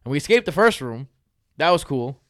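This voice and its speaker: A man's voice: a little high, a little fast, no echo, clean studio sound. A man, hosting a fantasy football podcast in loose, joking chat with his brother. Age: 30s. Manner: animated, laughs often, loose and joking.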